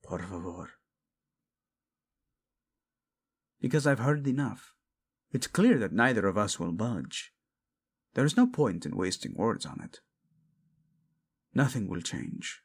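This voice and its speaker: Protective, lovelorn prince male actor: breathy and husky, very unhurried, intense and tender, romantic audio roleplay. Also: lowish voice, expressive, heavily acted. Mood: agitated, stern. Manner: intense, pleading, tender, often dropping to a whisper. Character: devoted, protective, guilt-ridden prince in love.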